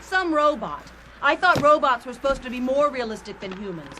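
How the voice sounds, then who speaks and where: Skeptical colony physician, radio drama, high-pitched, blunt, exasperated, clearly noisy background. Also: female voice, sing-song, moderate pace. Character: outspoken, skeptical woman physician at mining colony. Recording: noisy ambience behind the voice.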